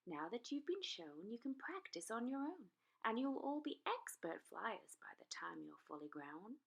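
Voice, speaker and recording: A woman's voice: fairly high pitch, very animated, moderate pace, creaky, breathy edge, exaggerated voice. A woman voicing a bossy, grand female dragon schoolteacher, emphatic and encouraging, in a children's storybook. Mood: commanding. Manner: commanding, emphatic, theatrical. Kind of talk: children's storybook read-aloud.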